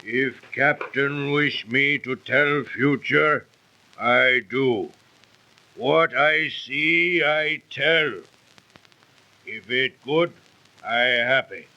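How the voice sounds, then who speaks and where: An actor playing a solemn old African medicine man who tells fortunes in halting broken English, in 1930s radio style. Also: mid-range voice, pushed and bright, full character voice. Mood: solemn, ominous. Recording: dull lo-fi 1930s radio recording.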